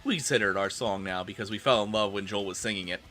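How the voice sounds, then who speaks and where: A man streaming a comedic dramatic reading in a theatrical, expressive manner. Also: on the low side, quick pace, faint music behind.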